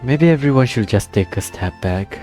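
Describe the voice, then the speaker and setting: A woman's voice: extremely low pitch, expressive, normal speed, music in the background. A woman giving casual, hesitant, amused reactions while playing through a game story. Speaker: gamer reacting to a story quest. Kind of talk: casual reaction commentary over gameplay.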